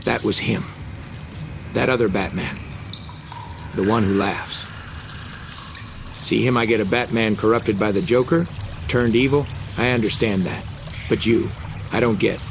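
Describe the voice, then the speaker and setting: Man playing an earnest low-voiced crime fighter. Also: unhurried, creaky, pauses often, noisy background. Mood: bewildered, accusing. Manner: serious, insistent. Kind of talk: motion comic dub acting. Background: dramatic music.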